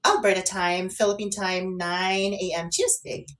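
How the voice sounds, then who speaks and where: A woman's voice: medium pitch, steady tone, a little slow, a bit bright. A woman co-hosting an online radio show, talking in a casual, conversational style. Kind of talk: casual chat and listener shout-outs.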